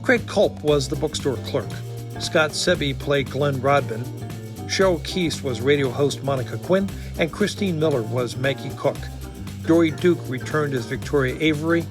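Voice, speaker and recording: An American man announces scripted credits in a slightly high voice. Clear music plays underneath him. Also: animated, moderate pace. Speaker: writer and announcer.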